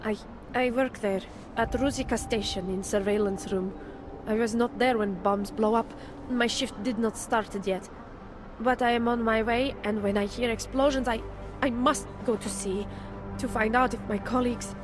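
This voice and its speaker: An actress playing a nervous, guilt-ridden surveillance worker in a video game, with a Czech accent and halting broken English. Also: female voice, a little high, fairly even intonation, lightly acted. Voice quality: broken English with dropped articles. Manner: halting, jumpy, repeating herself. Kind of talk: video game cutscene acting. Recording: music playing behind the voice.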